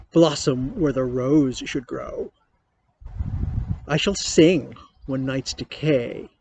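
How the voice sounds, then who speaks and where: A man recites poetry very slowly and deliberately in a mid-range voice. Faint ambient noise sits behind him. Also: medium pitch, darkish tone, poor audio. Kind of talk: dramatic poetry reading.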